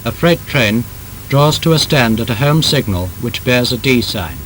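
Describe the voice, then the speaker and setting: A man with a British accent reads a scripted 1930s instructional film narration in a formal, emphatic, measured manner. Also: expressive, a bit bright. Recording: noisy old film soundtrack with rumble.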